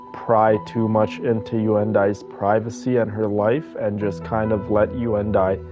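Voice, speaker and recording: A man streaming a game and giving casual, earnest, effusive commentary on its story, repeating phrases for emphasis. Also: fairly low pitch. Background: faint music.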